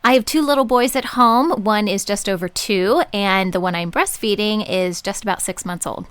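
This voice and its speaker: A woman in her thirties introduces herself as a studio panelist in a friendly way. Her voice sits at a mid pitch and sounds dry and close. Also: normal speed, a bit bright, smooth, no echo. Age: 30s. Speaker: panelist, podcast host of a sister show. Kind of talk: self-introduction.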